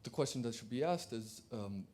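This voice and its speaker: A man's voice: mid-range voice, expressive, fairly quick, darkish tone. A man from Turkey speaking from the floor at a conference, hesitant, with many fillers and restarts. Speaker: audience member.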